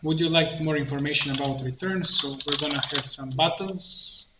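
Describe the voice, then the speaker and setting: A man narrating a step-by-step software tutorial, thinking aloud in a halting way as he works. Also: a little high. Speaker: tutorial instructor, coach. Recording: muffled, roomy, faint noise, keyboard clicks. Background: computer keyboard typing and clicking.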